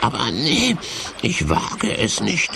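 A man playing a grumpy, mischievous, whiny villain in a children's audio play, with a squeaky, theatrical delivery. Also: huge pitch swings, slow pace, creaky, noisy background, full character voice. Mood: nervous, whiny.

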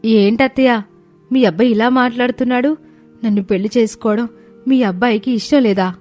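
A woman's voice: a little high, a little fast, darkish tone, lightly acted. A woman playing an unhappy young village daughter-in-law who speaks in a plaintive, complaining way. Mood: hurt. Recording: background music under voice.

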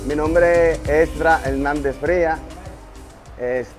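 A man's voice: a little high, steady tone, slow. A man with a Dominican accent, a stable worker, introducing himself to camera in a horse stall. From Dominican Republic. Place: wooden horse stall, music behind. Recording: music laid over stable interview.

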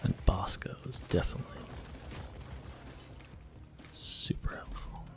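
A man softly talking through a video game in whispered ASMR style, slow and gentle. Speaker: ASMR gaming channel host. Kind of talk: soft-spoken ASMR game commentary.

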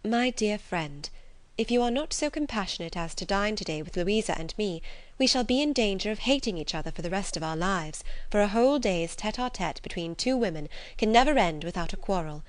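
A woman voicing a gentle, modest young lady in a classic novel audiobook, composed and soft-spoken. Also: mid-range voice, animated, fairly quick, dry recording, lightly acted. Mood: polite.

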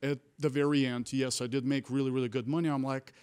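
A young man from Bulgaria with a Bulgarian accent, talking earnestly and haltingly as a podcast guest on a studio mic. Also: mid-range voice, level tone, a little mellow, smooth. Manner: earnest, halting, restarts often. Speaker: guest, real estate wholesaler. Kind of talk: casual interview talk. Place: studio set with mountain mural. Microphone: studio mic on boom arm.

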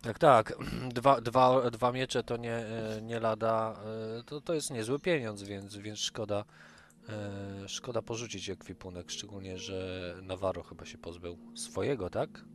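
A man's voice: fairly low pitch, fairly even intonation, a little slow. A man speaking as a player in a tabletop role-playing game, discussing plans in conversation. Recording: music behind the voice.

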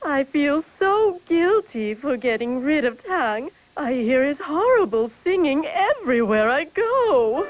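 A woman voicing a fussy, scheming magistrate's wife in a TV cartoon, drawn-out and theatrical. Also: sits high, very animated, full character voice, rough recording. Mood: guilty. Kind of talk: TV cartoon acting.

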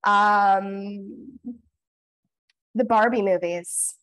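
A woman's voice: mid-range voice, fairly even intonation, fairly bright tone, low-quality recording. Bubbly young woman on a video call. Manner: bubbly, upbeat, quick to laugh. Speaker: voice actress guest. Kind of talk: casual video-call interview. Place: home room in front of blue backdrop. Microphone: webcam video call.

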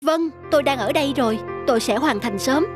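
A woman's voice: high voice, fairly quick, over music, lightly acted. A woman voicing a stubborn, money-worried young male motorbike taxi driver, curt and insistent, in a cartoon drama. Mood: businesslike.

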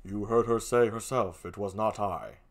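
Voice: low voice, moderate pace, pitched below the performer's own voice. A performer voicing a jealous, commanding and anguished husband in a declamatory, theatrical Shakespearean stage reading. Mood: grave, guarded. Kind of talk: classical Shakespearean stage acting.

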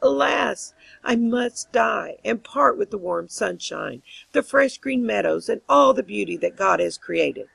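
A woman playing a sorrowful caged songbird, storybook tale, plaintive, raspy, animated pitch. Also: measured pace, no room sound, heavily acted. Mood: anguished lament. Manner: plaintive, lamenting. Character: dying captive lark, sorrowful, gentle, lamenting.

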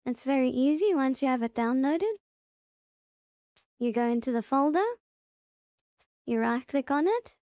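A woman presents a casual software walkthrough in a high, mellow voice. She instructs one step at a time and circles back to remind the listener of key points. The sound is very dry, with no room around it. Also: lively intonation, measured pace, pauses often, dry recording. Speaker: tutorial presenter. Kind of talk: casual software tutorial narration.

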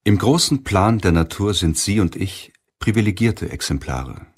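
A man reading a self-help audiobook in a measured, deliberate way with pauses. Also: deep voice, animated, slightly rough. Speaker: audiobook narrator. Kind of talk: scripted audiobook narration of a self-help book.

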